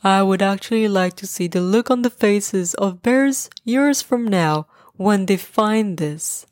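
A woman voicing a thoughtful, gentle male polar bear with a slow, pondering delivery in a bedtime story. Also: medium pitch, no echo, clean studio sound, close to an everyday voice. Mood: musing.

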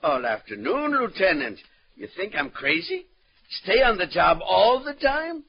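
A cheeky stage-door attendant in 1940s radio drama, talkative and wisecracking. The voice is high for a man and swings wildly up and down. The old recording sounds dull. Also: huge pitch swings, measured pace, gravelly, a little hiss or hum, exaggerated voice. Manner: colloquial, wisecracking.

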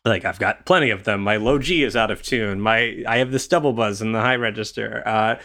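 Easygoing young man, lowish voice. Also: brisk, sharp tone. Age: 20s. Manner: earnest and easygoing, with light laughs and "um" fillers. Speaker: orchestral horn player guest. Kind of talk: conversational interview answer.

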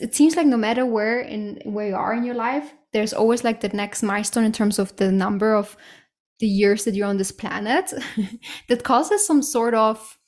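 A woman's voice: a little high, darkish tone. A woman in her thirties hosting a solo podcast, talking candidly and casually, with frequent fillers and the odd swear word. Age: 30s. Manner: candid, casual and lightly profane, with frequent "like" and "right?". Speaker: podcast host. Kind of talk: reflective solo podcast monologue.

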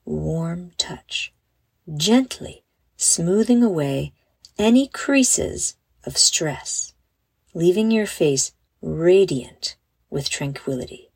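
A woman leading a guided meditation for children in a soft, breathy voice, with a soothing, gentle and encouraging manner. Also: lowish voice, expressive, drawn-out words, no room sound. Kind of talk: guided meditation, scripted narration.

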